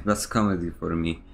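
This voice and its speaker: A man streaming a video game, chatting casually and teasing his teammates with deadpan jokes. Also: low-pitched, gravelly, noisy background. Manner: joking, teasing, mock-serious deadpan. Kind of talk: casual gaming chat. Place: home room with a fan.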